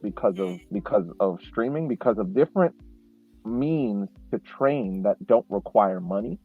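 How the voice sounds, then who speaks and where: A man with a lowish voice talks through a casual podcast chat, coming through a thin, phone-like line. He builds his points slowly, restarting phrases as he goes. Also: expressive, faint music behind. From Haitian family. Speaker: podcast co-host.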